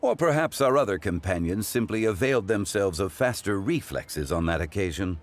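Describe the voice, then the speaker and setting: A man voicing an ancient, learned gentleman who speaks in a measured, formal, philosophical way. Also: fairly low pitch, lively intonation, slight background noise, close to an everyday voice. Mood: composed.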